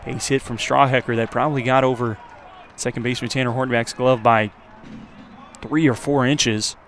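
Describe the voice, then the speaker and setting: A man's voice: medium pitch, fairly even intonation, normal speed, a bit bright. Man calling baseball over outdoor noise. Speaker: play-by-play announcer. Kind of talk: live baseball radio commentary. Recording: clear outdoor ambient noise. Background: outdoor ballpark ambience.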